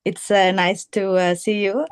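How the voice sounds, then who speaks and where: A woman student on a video call, asking a teacher a question in a shy, halting way. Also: mid-range voice, flat delivery, smooth.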